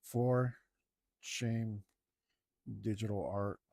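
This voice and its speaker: A man talking casually to himself as he works on a digital drawing, mumbling in a relaxed way. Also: fairly low pitch, very slow, dry recording, clean studio sound. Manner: mumbling to himself, laid-back. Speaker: digital artist. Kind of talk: casual talk while drawing.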